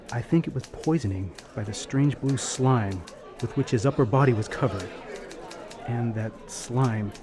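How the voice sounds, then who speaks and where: An actor playing a brisk, puzzled male police detective sergeant in a radio drama, with an emphatic delivery. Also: mid-range voice, close to an everyday voice.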